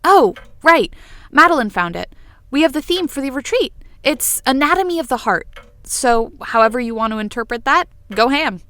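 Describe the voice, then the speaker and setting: A woman's voice: high-pitched, lively intonation, normal speed, bright, forceful tone, dry recording. A woman playing an exuberant, bossy, playful friend with a theatrical, wordy manner in a fiction podcast. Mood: breezy.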